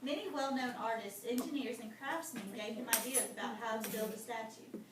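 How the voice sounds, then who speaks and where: High-voiced woman teacher, echoey noisy room. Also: fairly quick, distant mic. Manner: instructive, breaks off reading to explain and question. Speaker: teacher reading aloud to children. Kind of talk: read-aloud lesson with prompting questions.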